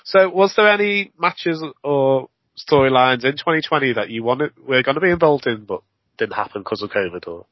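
A man's voice: medium pitch, animated, moderate pace, no room sound. A man in his forties interviewing a wrestler in casual chat, stumbling over repeated words and laughing often. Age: 40s. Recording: dry, dull lo-fi audio.

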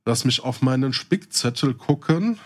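A man's voice: medium pitch, animated, normal speed. A man chatting casually as the co-host of a podcast. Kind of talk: casual chat.

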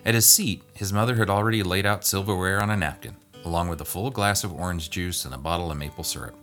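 Male narrator for a scripted story, deep voice, light plucked-string music behind. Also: fast, fairly bright tone. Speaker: guest reader.

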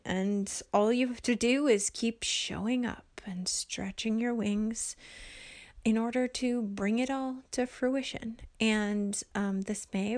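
A woman hosting her own podcast from home at night, talking in a soft, hushed voice that often sinks to a near whisper, earnest and gentle with frequent ums. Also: mid-range voice. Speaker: podcast host and life coach. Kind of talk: casual solo podcast talk.

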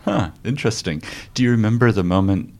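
A man in his forties hosts a conversational podcast, asking curious, searching questions that he sometimes stumbles into. His voice sits very low. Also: expressive, brisk, darkish tone. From New Zealand. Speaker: podcast host. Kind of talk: casual conversational interview.

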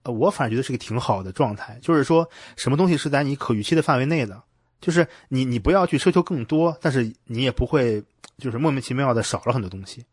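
Man, interviewer, mid pitch, informal wordy questions, very dry recording. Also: expressive, fast, breathy edge, dry recording. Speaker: podcast host, interviewer. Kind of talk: casual conversational interviewing.

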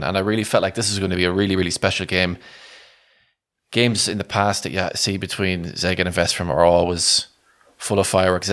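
A man's voice: sits low, fairly bright tone. A man co-hosting a sports podcast, casually recounting matches in a conversational way. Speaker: podcast co-host and match reporter.

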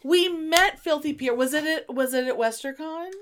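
A woman's voice: high voice, moderate pace, a little hiss or hum. A middle-aged woman co-hosting a podcast in casual, effusive, sweary chat with a friend. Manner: effusive, sweary, gasps and exclaims. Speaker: podcast co-host.

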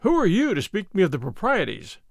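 A man's voice: high-pitched, huge pitch swings, fast talker, gravelly, no room sound, clean studio sound, heavily acted. A man voicing an arrogant, pushy army captain in an audiobook, suave and menacing, with a commanding, sneering manner. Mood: domineering.

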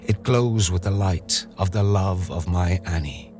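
A man reads poetry as a dramatic recitation, in a measured, solemn manner with deliberate phrasing. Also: low-pitched, over music. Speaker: poetry reader. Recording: clear ambient sound bed behind voice. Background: ambient sound design.

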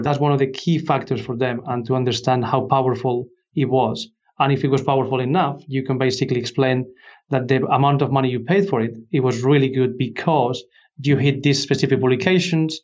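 A man's voice: mid-range voice, a little mellow, highs cut off. A man speaking as an expert interview guest, explaining conversationally and posing questions that he then answers.

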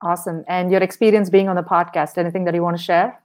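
A woman hosting a podcast interview, with upbeat, brisk wrap-up phrases. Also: on the low side, level tone, a little mellow. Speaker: podcast host.

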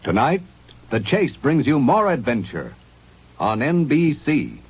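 Old radio announcer guy, kinda husky, big swingy promo voice, dull lo-fi. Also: sing-song, a little slow. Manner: bright, booming promo delivery. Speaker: network announcer. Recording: dull 1950s radio broadcast.

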